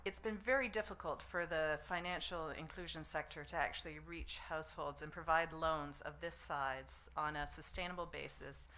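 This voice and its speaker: A low-voiced woman with a bright, firm edge answers as a foundation representative on a press panel. Her explanation is unscripted, with halts and self-corrections. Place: press conference room.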